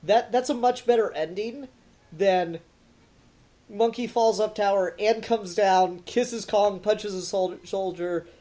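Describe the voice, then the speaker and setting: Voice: medium pitch, slow pace, warm tone. A podcast host giving a casual, critical review in an exasperated and emphatic way.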